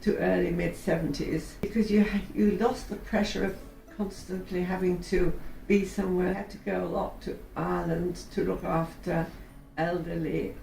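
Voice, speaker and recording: A woman in her eighties giving slow, thoughtful interview answers, chuckling now and then. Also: fairly low pitch, steady tone, gravelly, dark tone, a little hiss or hum. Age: 80s. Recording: roomy echo, somewhat distant, faint music.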